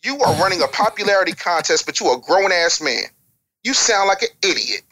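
A man's voice: high-pitched, animated, fairly quick, bright, forceful tone. An American man giving opinionated, unscripted advice as a podcast guest, blunt, profane and scolding.

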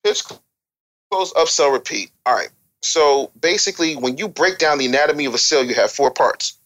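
A man's voice: on the high side, animated, fairly quick, fairly bright tone. An American man giving opinionated, unscripted advice as a podcast guest, blunt, profane and scolding.

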